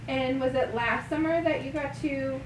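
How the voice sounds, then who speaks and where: A woman's voice: high voice, moderate pace, background noise. A woman hosting a podcast in casual chat, asking questions and making short remarks. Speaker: podcast host. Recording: echoey room, distant mic, other voices behind.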